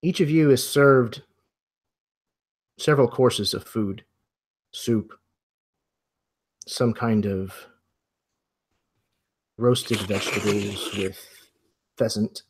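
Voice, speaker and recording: A man working as game master, narrating an improvised roleplay scene in a measured, descriptive way. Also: on the low side, noisy background.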